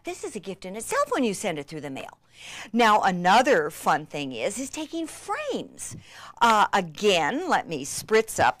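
An excitable woman presents a craft demonstration with gushing enthusiasm. She takes her time over the words, dropping into breathy, near-whispered emphasis. The sound is dry, with little room around the voice. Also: medium pitch, no room sound.

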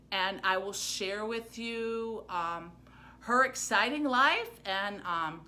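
A middle-aged woman presenting a children's book for a library, with an expressive storyteller's delivery. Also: on the high side. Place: small plain room indoors.

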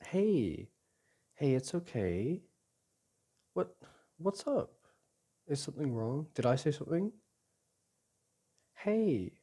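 A young man playing a caring, reassuring boyfriend in an intimate ASMR roleplay, speaking gently and haltingly with sighs and restarts. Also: a little high, very animated, slightly rough, heavily acted. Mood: soothing, worried. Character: caring, reassuring boyfriend comforting his upset partner. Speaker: audio roleplay performer.

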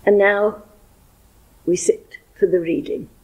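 A woman's voice: mid-range voice, steady tone, slow pace, darkish tone, husky, highs cut off. An older woman leading a church service, reading liturgical prayers in a church. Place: church interior.

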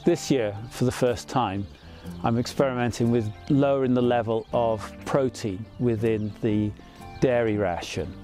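A man in his fifties, a farmer, explaining his farm practices in a measured, plain way, outdoors in an open field. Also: mid-range voice, fairly even intonation, warm tone, soft background music. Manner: measured, plain explanatory talk with small fillers.

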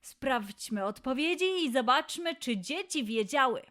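A woman's voice: sits high, lively intonation, a little slow, fairly bright tone. A woman hosting a children's quiz show in a playful, scripted way, encouraging her young listeners.

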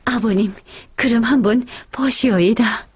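Casting: dutiful young daughter-in-law, very breathy, gentle, North Korean accent, cartoon. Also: female voice, fairly high pitch, measured pace. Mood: respectful. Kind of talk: animated folk-tale acting.

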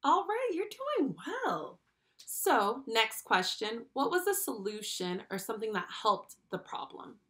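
A young woman hosting a children's lesson, speaking directly to the child with animated, encouraging praise. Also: fairly high pitch, a little slow. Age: young adult. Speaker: children's read-along host and school speech therapist. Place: small furnished room at home, indoors.

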